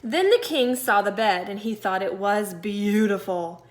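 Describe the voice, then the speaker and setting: A woman teacher reading a storybook aloud to young children in an expressive, deliberate way, stressing key words. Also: mid-range voice. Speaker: second-grade teacher.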